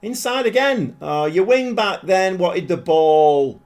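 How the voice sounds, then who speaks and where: A man live-streaming a football management game, chatting casually with viewers, self-deprecating and quick to get excited. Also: high-pitched.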